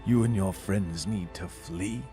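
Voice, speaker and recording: A man's voice: low voice, measured pace, warm tone, soft background music. A man voicing a wounded, desperate dagger fighter in a tabletop game, giving urgent commanding warnings. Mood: grave warning.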